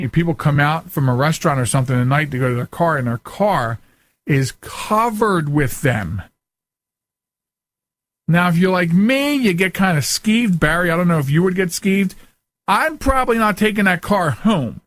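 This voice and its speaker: A man's voice: fairly high pitch, expressive, moderate pace, no echo. A middle-aged man hosting a talk show in a casual, rambling monologue, often interrupting himself and wandering off on asides. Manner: chatty, digressive, self-interrupting.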